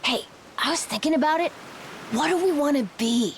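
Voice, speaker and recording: A woman's voice: high voice, normal speed, slight background noise. A woman voicing an eager, wide-eyed young pirate crewmate in a video game, gushing with childlike enthusiasm. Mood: curious.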